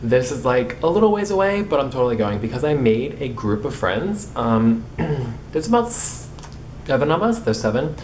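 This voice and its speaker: A man's voice: mid-range voice, very animated, moderate pace, darkish tone, a little hiss or hum, low-quality recording. A young man who stutters, with repeated starts and blocks, chatting casually to camera in a vlog. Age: young adult. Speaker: vlogger.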